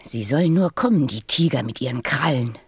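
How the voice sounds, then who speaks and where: A woman's voice: a bass voice, expressive, fairly quick, breathy edge, dry recording, studio quality, heavily acted. An actress playing a vain, fussy female flower with affected, haughty manners in a radio play. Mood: proud, affected. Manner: affected, haughty formal address.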